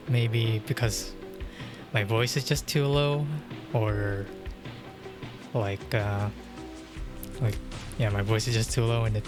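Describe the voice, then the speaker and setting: A young man chatting casually on a game livestream, rambling with lots of fillers and frequent chuckles, into a dynamic mic at home. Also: medium pitch. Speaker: streamer. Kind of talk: casual livestream chat while gaming. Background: game music.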